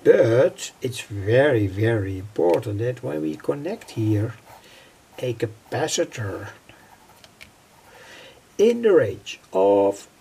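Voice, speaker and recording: An older man giving an unscripted technical tutorial in a halting, repetitive way, piecing phrases together. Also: mid-range voice, darkish tone, slight background noise. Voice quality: husky, gravelly. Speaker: electronics hobbyist presenter. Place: indoors at a worktable.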